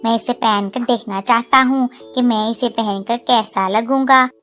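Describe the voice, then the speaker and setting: A woman's voice: fairly high pitch, steady tone, unhurried, fairly bright tone, faint music behind. A woman voicing a sly, greedy male crow in a cartoon moral story, with a scheming, wheedling manner. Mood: falsely friendly. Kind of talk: animated moral story dialogue.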